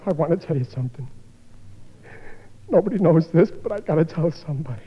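A man's voice: on the high side, expressive, brisk, breathy edge. An actor playing a hapless, blustery, middle-aged working-class father in a stammering, emotional 1950s radio comedy style. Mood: dejected. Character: excitable, hapless working-class family father, easily overwhelmed. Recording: muffled old radio broadcast.

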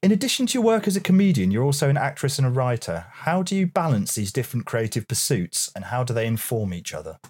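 A man's voice: medium pitch, big pitch swings, fast, hardly any pauses, darkish tone, breathy edge. A man co-hosting a podcast, reading interview questions aloud in a mock-formal way and chatting casually.

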